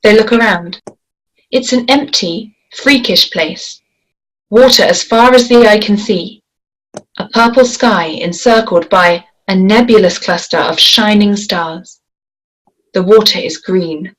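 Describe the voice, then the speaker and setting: A woman reading a screenplay aloud in a measured, descriptive way, giving action lines and scene headings. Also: mid-range voice, rough recording. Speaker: script reader. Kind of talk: screenplay table read.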